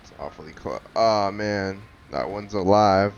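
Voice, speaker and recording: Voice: sits low, measured pace, gravelly, lo-fi sound, a little hiss or hum. A player giving casual, dry, joking commentary over gameplay. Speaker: game streamer.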